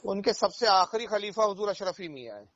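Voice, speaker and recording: A man's voice: sits high, animated, fairly quick, a bit bright. A man speaking as an Islamic cleric on a phone call, explaining religious matters and anecdotes in an emphatic way, repeating key phrases. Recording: dull, dry phone call recording.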